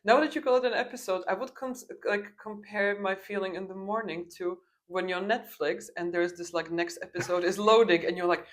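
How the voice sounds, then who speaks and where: A woman in her thirties from Ukraine, a biochemist guest chatting in a casual interview, lively when she tells a story. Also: mid-range voice, fairly quick, hardly any pauses. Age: 30s.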